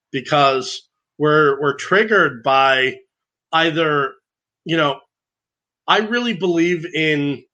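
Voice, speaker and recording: Loud, bright-voiced man talking earnestly. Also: a little high, poor audio. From grew up in a divorced family. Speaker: podcast guest. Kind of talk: conversational podcast interview.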